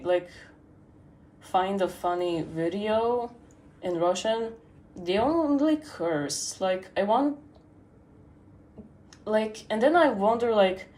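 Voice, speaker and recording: A teenage girl rambling on a livestream, full of pauses, fillers and restarts. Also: mid-range voice, a little mellow. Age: teens. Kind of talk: rambling casual stream chat.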